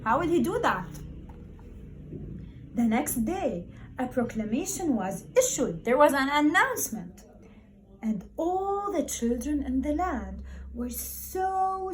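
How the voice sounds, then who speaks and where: Lady teacher doing a read-aloud for little kids, kinda high voice, really slow, encouraging and expressive, repeats stuff. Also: a little hiss or hum, poor audio. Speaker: primary school teacher. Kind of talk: encouraging talk and read-aloud storytelling for young children.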